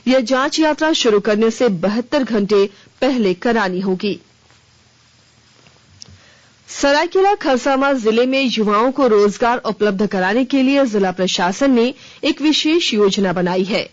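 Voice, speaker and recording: A woman reading a scripted regional radio news bulletin in a formal, measured manner. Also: on the high side, expressive, dry recording. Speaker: news reader. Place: dry radio studio. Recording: dull, band-limited radio broadcast.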